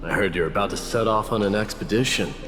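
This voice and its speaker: A man playing a grave, proud warrior who tells an old legend in a measured, formal way for a video game. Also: on the low side, close to an everyday voice. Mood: solemn. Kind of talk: dramatic video game storytelling. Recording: reverberant hall, music behind.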